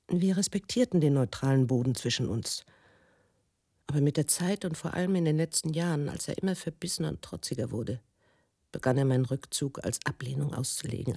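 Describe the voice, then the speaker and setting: A woman playing an embittered, wounded, educated wife in a radio drama monologue, husky and brooding, in deliberate, halting phrases. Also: low-pitched, mellow, no echo, clean studio sound. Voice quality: husky, gravelly.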